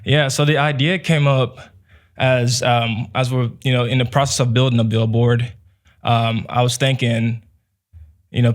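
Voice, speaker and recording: Flat-toned young man. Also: mid-range voice. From Nigeria. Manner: relaxed, many "um" and "you know". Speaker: guest, business owner. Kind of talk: casual interview answers.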